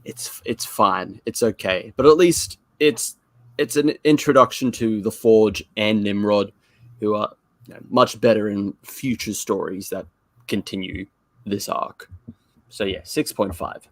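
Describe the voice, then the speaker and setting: A man's voice: on the low side, a little slow, no echo. A man co-hosting a casual review chat, talking in a rambling, informal and repetitive way. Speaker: show co-host.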